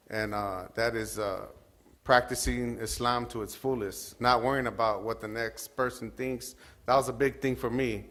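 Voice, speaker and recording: A man on a panel calmly sharing personal testimony, reflective and peppered with "you know". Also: medium pitch.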